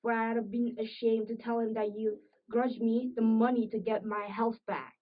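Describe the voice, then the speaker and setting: A woman's voice: fairly high pitch, little pitch movement, moderate pace, natural voice. A woman playing a sickly, bitter, complaining farm wife with old-fashioned rural phrasing in an amateur period drama. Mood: reproachful. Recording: muffled audio, distant microphone.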